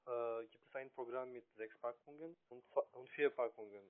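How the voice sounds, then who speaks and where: A man with a foreign accent, a non-native speaker, giving a halting, hesitant telephone sales pitch. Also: steady tone. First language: not German. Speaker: telesales call centre agent. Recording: narrow, tinny phone line.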